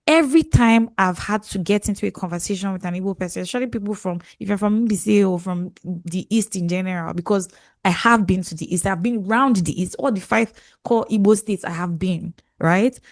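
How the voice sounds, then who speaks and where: A Nigerian woman talks animatedly on a podcast, piling up phrases and correcting herself as she goes. Her voice sits at a middle pitch. The sound is dry and close, with no room behind it. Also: fairly quick, dry recording. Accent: Nigerian English. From southwest Nigeria. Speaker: podcast co-host. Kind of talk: casual podcast chat.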